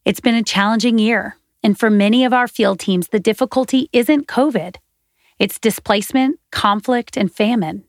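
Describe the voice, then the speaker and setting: Woman podcast host with a gravelly edge. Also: fairly high pitch, normal speed, no room sound, studio quality. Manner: earnest, steady reading with faith language. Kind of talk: scripted podcast narration.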